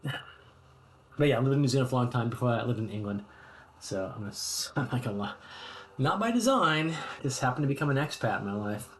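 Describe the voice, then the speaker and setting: A man's voice: medium pitch, animated, a little fast, a little hiss or hum. American guy rambling to his stream chat at home, lots of ums, friendly. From United States. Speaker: streamer host. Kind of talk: casual chat with live chat viewers. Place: home hobby room.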